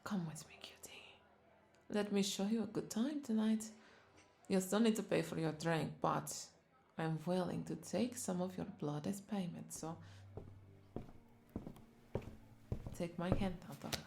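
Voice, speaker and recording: Flirty, creaky-voiced vampire bartender played by a woman. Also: lowish voice, normal speed, a little mellow. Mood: seductive, teasing. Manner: flirtatious, teasing, breathy asides dropping into whispers. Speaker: audio roleplay voice performer. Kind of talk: intimate scripted audio roleplay.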